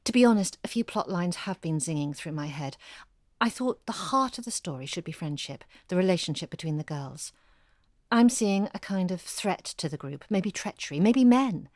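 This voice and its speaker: A woman's voice: on the low side, darkish tone, no echo, clean studio sound. An actress playing a blunt, busy single mother who ghostwrites, speaking in a matter-of-fact, naturalistic way. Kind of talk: audio drama acting, naturalistic.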